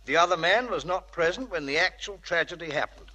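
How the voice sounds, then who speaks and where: An actor playing a polite, apologetic police inspector, formal and deferential, in old radio drama style. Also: male voice, a little high, lively intonation, brisk, pushed and bright, poor audio. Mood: grave, formal. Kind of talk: 1940s radio acting.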